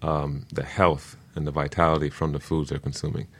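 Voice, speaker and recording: A man speaking as an expert guest in an interview, explaining things in an earnest, deliberate way. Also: rumbling low, flat delivery, fairly bright tone. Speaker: guest expert, permaculture teacher.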